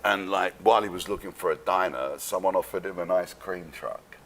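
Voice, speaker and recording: A man from London with a London English accent tells stories casually as an interview guest, rambling and halting in a streetwise, sweary way. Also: sits low, fairly bright tone. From London, England.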